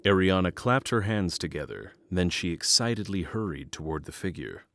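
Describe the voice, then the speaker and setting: A man's voice: low voice, expressive, normal speed, a bit bright, no echo, clean studio sound. A man reading scripted narration for a fantasy audio drama.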